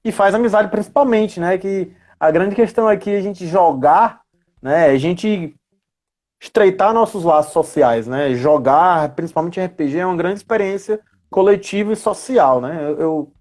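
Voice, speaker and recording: A man's voice: sits high, normal speed, darkish tone. A man speaking as a guest in a casual live chat, informal, repeating phrases and adding frequent tag questions. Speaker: guest, RPG educator. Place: remote live video chat on social media.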